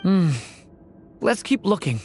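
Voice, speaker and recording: A woman playing a gentle, wistful young dragonborn boy in a video game, polite and hesitant. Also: mid-range voice, sing-song, slow pace, soft background music. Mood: earnest.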